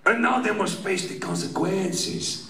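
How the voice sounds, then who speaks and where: Masked radio-play villain: high-pitched ranting menace, grand and theatrical, echoey noisy hall. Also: male voice, a little slow, sharp tone, exaggerated voice. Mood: grandiose menace. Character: ranting masked villain crusading against his enemies. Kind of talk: comic radio play performed live on stage. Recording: echoey convention hall, distant mic, noisy.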